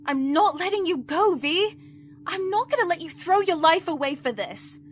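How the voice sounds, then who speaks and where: A woman's voice: extremely high pitch, lively intonation, normal speed, a little hiss or hum. A woman playing a protective, exasperated companion to a villain in an audio roleplay, speaking earnestly and reproachfully. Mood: worried, pleading. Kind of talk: dramatic audio roleplay acting.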